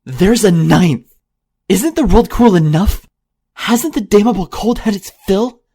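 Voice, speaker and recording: Man playing an anguished unicorn mare, desperate and high-pitched, storybook reading. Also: expressive, measured pace, dark tone, slightly rough, full character voice. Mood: screaming. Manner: crying out, desperate. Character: anguished young unicorn mare, frantic and tormented.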